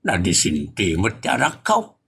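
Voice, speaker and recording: A man's voice: fairly low pitch, huge pitch swings, measured pace, vocal fry, fairly bright tone, slightly rough, exaggerated voice. A man with an Ilocos accent from the northern Philippines playing a grumbling, teasing older father in a comedy audio drama. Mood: combative.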